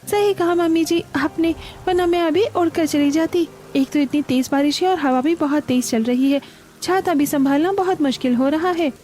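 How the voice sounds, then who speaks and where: A woman voicing a young, eager, slightly stubborn daughter-in-law in an expressive cartoon family story. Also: high voice, normal speed, a little hiss or hum, voice pushed up, lightly acted. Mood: startled, chatty.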